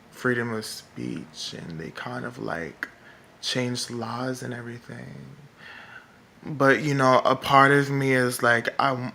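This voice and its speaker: A man with a feminine-sounding voice, talking in a rambling vlog monologue that is halting and full of fillers and restarts. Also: mid-range voice, gravelly, bright, forceful tone. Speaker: political vlogger. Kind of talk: casual monologue to camera.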